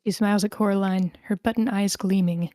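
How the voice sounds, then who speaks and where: A woman narrates screenplay action lines at a table read. Her pitch is average for a woman. The sound is dry, with little room around it. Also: steady tone, fairly quick, mellow, no echo. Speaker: stage-direction reader.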